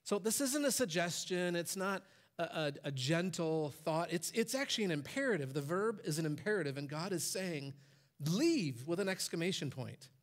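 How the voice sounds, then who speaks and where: A man's voice: a little high, expressive, normal speed. A pastor in his forties preaching on a church stage, his voice lifting when he quotes commands. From Michigan, USA. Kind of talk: sermon.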